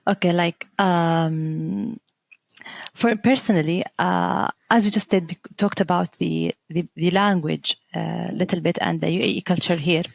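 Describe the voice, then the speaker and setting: A woman's voice: on the low side, fairly even intonation, a little slow, vocal fry, dry recording. A woman who speaks Arabic as her first language, answering interview questions in English with hesitant "uh"s and frequent restarts. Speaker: guest, teacher trainer.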